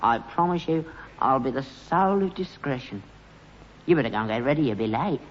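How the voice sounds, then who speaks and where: Actor as a crafty old London dad, muffled sound. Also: male voice, mid-range voice, expressive, brisk, lightly acted. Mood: wheedling. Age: older. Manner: sly, needling, cantankerous. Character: crafty, cheeky old working-class London father. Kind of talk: British sitcom acting. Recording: muffled, noisy archive recording, echoey hall.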